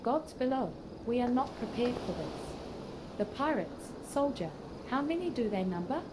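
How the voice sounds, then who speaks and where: A woman's voice: a little high, animated, a little slow, background noise. A synthetic-sounding woman voicing a formal, dutiful dockyard officer in a fantasy game, with stately archaic phrasing. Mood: urgent. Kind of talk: scripted fantasy game dialogue read by text-to-speech.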